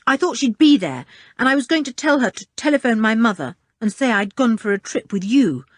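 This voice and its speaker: Woman voicing a shrill panicky young boy. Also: lively intonation, fairly quick, no echo, studio quality. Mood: defensive. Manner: shrill, sobbing, breathless pleading. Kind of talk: audiobook character reading.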